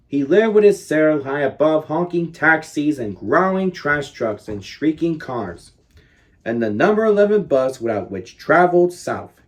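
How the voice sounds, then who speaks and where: A man in his thirties reads a children's storybook aloud at an unhurried pace. His pitch swings widely as he stresses key words for drama, in a deliberate, expressive storytelling style. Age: 30s. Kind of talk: expressive picture book read-aloud.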